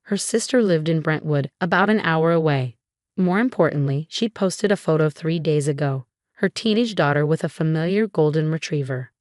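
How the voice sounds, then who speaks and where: A woman's voice: deep voice, normal speed, a little mellow, no room sound, studio quality. A woman reading a scripted first-person dramatic story as its narrator.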